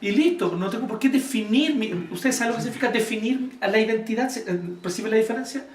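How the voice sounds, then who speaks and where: A Chilean man giving an informal church teaching talk, blunt and animated, quizzing the audience as he goes. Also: high voice, quick pace, slight background noise. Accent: Chilean Spanish. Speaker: church speaker teaching volunteers. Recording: echoey room, voice from far off.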